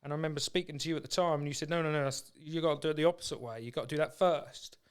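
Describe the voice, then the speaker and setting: A man's voice: a little high, steady tone, racing, smooth. An English man hosting a podcast, chatting in an informal, supportive and rambling way. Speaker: podcast host. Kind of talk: casual conversational interview.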